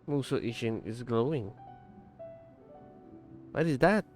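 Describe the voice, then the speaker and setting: Slow-talking man reacting to a game. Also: mid-range voice, animated, darkish tone. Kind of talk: casual live reaction commentary. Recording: game music behind the voice.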